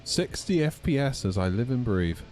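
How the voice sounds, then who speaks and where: A croaky man chatting casually with his viewers while flying a sim. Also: medium pitch, very animated, normal speed, a little mellow. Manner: chatty, talks to chat readers. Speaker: streamer. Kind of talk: casual chat while flight simming.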